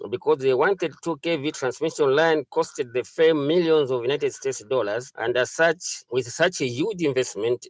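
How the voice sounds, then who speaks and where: A man's voice: mid-range voice, dry recording. A man delivering a prepared statement in formal wording.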